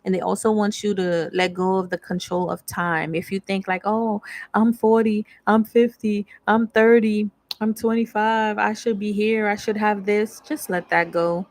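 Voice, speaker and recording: Female tarot reader, young, flat low delivery, dry close sound. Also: normal speed, dry recording. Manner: candid, slangy, reads card text aloud then riffs. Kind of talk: casual channeled tarot reading.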